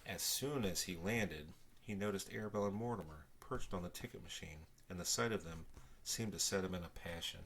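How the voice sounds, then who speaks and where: An older man reading a children's story aloud with expressive narration. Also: deep voice, fairly quick, a little hiss or hum.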